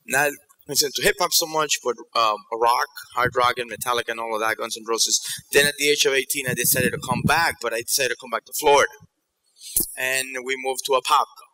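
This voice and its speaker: A man in his forties from New York and Ecuador giving a conference presentation in an informal, halting way, often repeating words. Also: medium pitch, sharp tone, low-quality recording. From South Bronx, New York and Ecuador. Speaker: keynote speaker. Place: auditorium stage at a podium.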